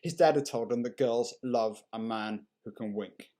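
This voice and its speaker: A man reading a short story aloud in an unhurried, measured and expressive storytelling manner. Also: mid-range voice. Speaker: story reader. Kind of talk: dramatic short story narration.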